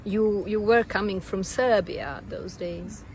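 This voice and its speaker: A woman podcast host who asks her questions slowly and hesitantly, with many fillers. A faint background noise sits under her voice. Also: medium pitch, steady tone. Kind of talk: interviewing a guest.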